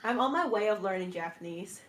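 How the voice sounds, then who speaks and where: Brief: young woman, Cantonese accent in English, chatty streamer guest, mid pitch, lots of "like". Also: lively intonation, a little fast. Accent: Cantonese-influenced English. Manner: chatty, laughs often, filler-heavy. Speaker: VTuber guest. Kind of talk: casual stream chat.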